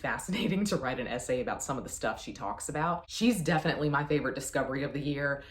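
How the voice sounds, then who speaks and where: Low-pitched woman vlogger in an echoey room. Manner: effusive, playfully self-deprecating, emphatic. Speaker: book reviewer vlogger. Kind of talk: casual chatty book review monologue. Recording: roomy, echoey sound.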